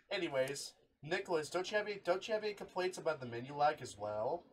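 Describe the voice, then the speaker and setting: Male host, fairly high voice, informal chatty hobby presenter, faintly noisy recording. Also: animated, brisk. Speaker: video host showing a radio collection. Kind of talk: casual hobby showcase with friends.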